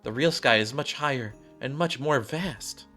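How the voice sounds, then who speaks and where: A wistful, earnest young girl from a video game, voiced by a man. The pitch lifts a little, the tone is bright, and music sits behind. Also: unhurried. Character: earnest, dreamy young girl longing for the sky.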